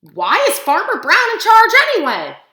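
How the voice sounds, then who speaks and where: Woman voicing an indignant, high-pitched storybook duck. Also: wildly swooping pitch, normal speed, heavily acted. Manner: loud, declarative protest. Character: indignant, ambitious farm duck campaigning for election. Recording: roomy, echoing room sound.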